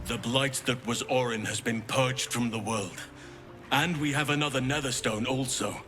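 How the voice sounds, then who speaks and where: A man's voice: mid-range voice, steady tone, moderate pace, lightly acted. An actor voicing a formal, dignified male warrior companion, over game music.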